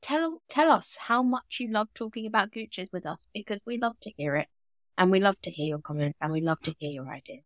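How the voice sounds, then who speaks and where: A woman's voice: mid-range voice, a little fast, dry recording. A woman co-hosting a podcast in casual chat, joking and rambling off on tangents. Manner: bubbly, rambling, jokey tangents. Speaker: podcast co-host.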